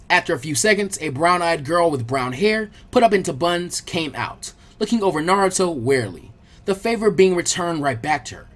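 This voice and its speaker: Narrator for action fiction: scripted, expressive, lively range. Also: medium pitch. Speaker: audiobook narrator.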